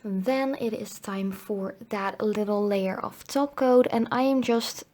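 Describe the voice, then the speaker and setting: Woman, medium pitch, casual product review narration, chatty and informal, voice cracking a little from a cold. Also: takes it slowly, warm tone. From Netherlands. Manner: chatty, informal, frequent hedging. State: slightly cracking voice from a coming cold. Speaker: nail polish reviewer.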